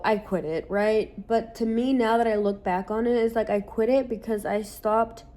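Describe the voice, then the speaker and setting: A woman's voice: medium pitch, darkish tone. A woman in her late twenties talking candidly in a casual vlog, rambling with lots of "like" and casual swearing. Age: late 20s. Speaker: social media creator.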